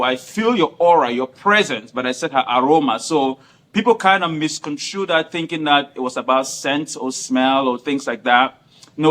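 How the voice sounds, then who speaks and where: Earnest man, bright voice. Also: a little high. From Cameroon. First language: not English. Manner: earnest, formal, repeats himself. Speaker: guest. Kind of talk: explaining himself in an interview.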